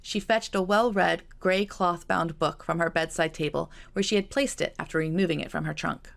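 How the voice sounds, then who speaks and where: A woman's voice: on the low side, steady tone, normal speed, creaky. A woman reading her own novel as scripted audiobook narration.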